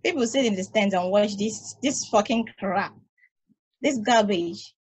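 A woman's voice: mid-range voice, a little slow, darkish tone, breathy edge. A woman reading a sour, cynical probe. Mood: grumpy. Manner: sour, blunt, dismissive. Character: grumpy cynical female space probe. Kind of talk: video-call table read. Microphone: video call.

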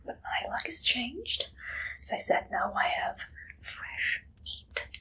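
A young woman playing an eager, shifty Victorian pie-shop keeper in an ASMR roleplay, breathy and whispery, halting and coyly secretive. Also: fairly high pitch, big pitch swings, sharp tone, heavily acted, poor audio. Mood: coy, dreamy. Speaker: ASMR performer. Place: small dim indoor room.

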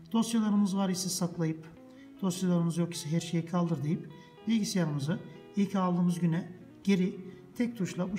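A man's voice: high voice, dark tone. A man hosting a casual step-by-step computer tutorial, repeating each step patiently for his viewers. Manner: patient, repeats steps, addresses viewers as friends. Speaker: tech tutorial host. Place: indoors at a desk, off camera.

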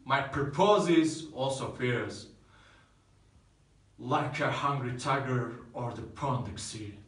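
A man's voice: mid-range voice, measured pace, roomy sound, recorded from a distance, poor audio. A man playing a desperate grieving young lover. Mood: commanding. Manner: swings from pleading shouts to hushed grief. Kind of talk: modern-language play reading.

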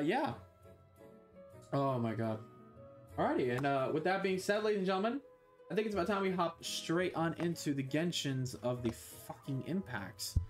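A young man streaming a video game from his bedroom on a headset mic, rambling, scattered and swearing a lot. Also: medium pitch. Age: young adult. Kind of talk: casual gameplay commentary.

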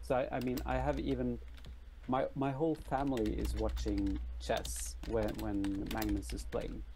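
A man's voice: medium pitch, level tone, unhurried, background noise. A middle-aged Norwegian man with a Norwegian accent, chatting casually as an interview guest, often hesitating and repeating words. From Norway. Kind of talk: casual chat. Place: room at home, video call.

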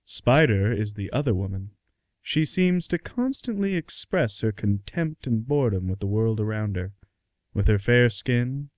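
A man narrates a radio play from a script. His medium-pitched voice moves around a great deal, and the sound is close and dry. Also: a little mellow, no echo.

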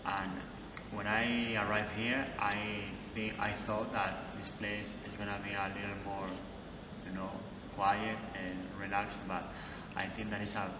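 A young man speaks casually in a low, very even voice. The mic stands off from him in a reverberant, noisy gallery hall. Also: recorded from a distance. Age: 20s. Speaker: visual arts student. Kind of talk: casual testimonial to camera. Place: indoor art gallery by sculptures. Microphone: camera mic at a few feet.

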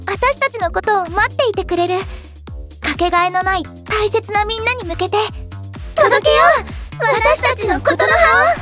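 An earnest, cheerful teenage idol girl in an anime voice drama. Her voice is extremely high and sweet, and she narrates expressively over music. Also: a bit bright, long reverb tail. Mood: earnest, stirring. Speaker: narrator and lead character. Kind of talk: poetic Japanese anime audio drama narration. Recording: music behind the voice.

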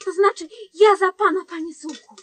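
Very high-pitched, adoring woman. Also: fairly even intonation, moderate pace. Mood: adoring, gushing. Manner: gushing, hesitant, sentimental, deferential. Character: adoring, naive, meek woman hopelessly devoted to him. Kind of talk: comedy radio play acting.

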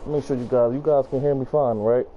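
A man's voice: medium pitch, fairly even intonation, fairly quick, dull audio. A man with a slightly scratchy morning voice giving casual, profane let's play commentary to viewers. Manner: slangy, profane, talking to viewers. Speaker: video game streamer. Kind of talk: casual let's play commentary with slangy banter, reading dialogue aloud. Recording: game music behind the voice.

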